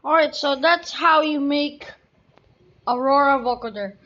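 A woman with a fairly high voice talks through a casual tutorial. She goes very slowly, in a halting, step-by-step way. The sound is somewhat dull and lo-fi. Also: fairly bright tone. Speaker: tutorial host. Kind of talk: casual screen-recorded tutorial. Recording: dull lo-fi sound, background noise.

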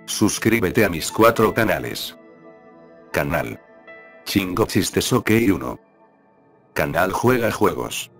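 A man reading out a scripted narration for an online video channel. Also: low-pitched. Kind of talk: scripted narration, read aloud. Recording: music bed behind the voice. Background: background music.

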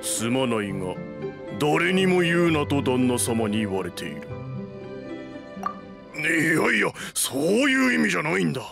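A sturdy mansion gatekeeper from an anime-style game. His voice sits fairly high for a man, with a gravelly creak and formal, gruff phrasing. Music plays behind him. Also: very animated, a little slow, long pauses, exaggerated voice. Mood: flustered, pleading. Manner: gruff, formal guard speech. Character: sturdy male mansion gatekeeper, stern then flustered and pleading. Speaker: voice actor in a game dub. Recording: studio voice over game music. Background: game background music.

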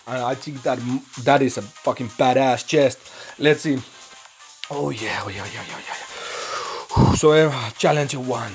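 An excitable young man with a Finnish accent swears freely and thinks aloud while he talks over a mobile game recording. His pitch leaps up and down a lot, and his English is broken in places. Faint music plays under his voice. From Finland. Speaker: gaming channel host.

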